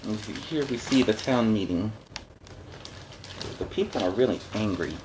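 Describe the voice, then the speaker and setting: A man's voice: fairly low pitch, expressive, a little slow, background noise. A man reading a storybook aloud as host, adding conversational, explanatory asides in a casual style.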